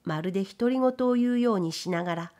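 Female narrator, medium pitch, for scripted literary audiobook; measured storytelling delivery. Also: dark tone.

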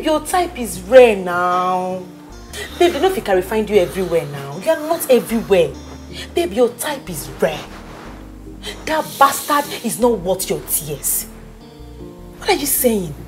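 Young Nigerian woman, fiercely loyal friend, animated, insistent, repetitive, Pidgin slang, music behind. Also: a little high. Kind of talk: naturalistic film drama acting.

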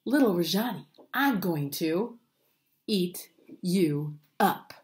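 A woman voicing a boastful, greedy male tiger in a children's story, with drawn-out, deliberate menace. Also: fairly low pitch, lively intonation. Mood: menacing.

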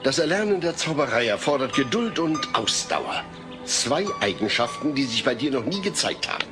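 A man's voice: mid-range voice, sing-song, moderate pace, music in the background, natural voice. An actor playing an exasperated middle-aged family father in a comedy audio play.

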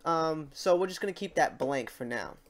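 A man hosting a casual software tutorial, informal and halting as he thinks aloud. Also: fairly high pitch, expressive. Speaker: tutorial host. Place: indoors at a computer, keyboard typing behind.

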